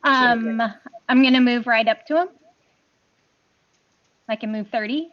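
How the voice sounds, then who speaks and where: Woman, tabletop player, higher voice, hesitant, dry dull mic. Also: measured pace, no echo. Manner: hesitant, counts moves aloud. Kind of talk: casual tabletop play.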